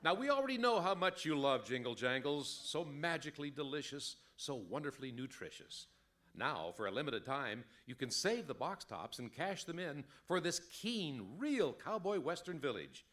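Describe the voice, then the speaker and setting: An actor playing a peppy, hard-selling announcer in an old-time radio cereal commercial. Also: male voice, on the high side, sing-song, moderate pace, a bit bright. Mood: upbeat selling. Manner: peppy, sloganeering.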